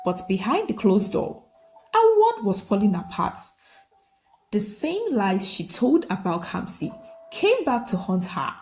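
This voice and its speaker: A woman's voice: medium pitch, creaky, a little mellow, a little hiss or hum, poor audio. A woman narrating a scripted folktale as a storyteller, in dramatic short sentences.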